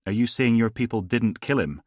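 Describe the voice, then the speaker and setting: A man's voice: mid-range voice, lively intonation, a little fast, no echo, pitched above the performer's own voice, natural voice. A man voicing a blunt, defiant male police sergeant with a dry, sardonic manner.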